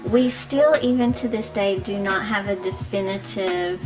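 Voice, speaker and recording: A woman speech pathologist speaks on a radio talk show in a patient, measured, explanatory way, pausing with ums as she walks through each point. Her pitch sits in the middle of the range. Music plays clearly underneath in the studio. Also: rough recording. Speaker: speech-language pathologist guest.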